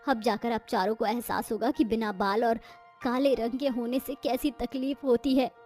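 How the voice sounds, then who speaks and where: Family woman for a moral-story cartoon, played by an actress: high-pitched, melodramatic, exaggerated. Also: steady tone, normal speed, a little mellow, faint music behind, lightly acted. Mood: aggrieved. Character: women of a cartoon family: brides and haughty in-laws. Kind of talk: dramatic Hindi moral-story cartoon dubbing.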